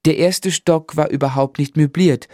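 An actor narrating as a grown man looking back on a lonely boyhood, in measured literary German radio drama style. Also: a little high, steady tone, a little mellow, dry recording, studio quality, natural voice. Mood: reflective. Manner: reflective, measured storytelling.

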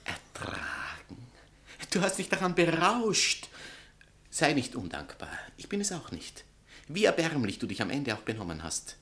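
A man's voice: medium pitch, huge pitch swings, a little slow, a bit bright, exaggerated voice. An actor playing a vain, scornful male writer and spurned lover in a satirical stage comedy, pompous and declamatory. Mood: grandiose, reproachful. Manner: pompous, theatrical, declamatory, swinging from outbursts to sneers. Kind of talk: theatrical satirical comedy acting.

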